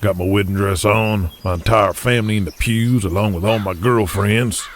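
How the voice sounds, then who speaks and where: An actor playing a gruff, shrewd wrestling promoter from Texas in an audio drama, with a folksy, deliberate way of speechifying. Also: male voice, fairly low pitch, fairly even intonation, slight background noise, close to an everyday voice. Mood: wry impatience.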